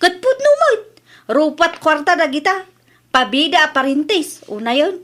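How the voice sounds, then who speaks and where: Grumbling village mother female performer, Ilocano accent, high voice, reluctant temper, homely comedy-drama. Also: expressive, normal speed, fairly bright tone.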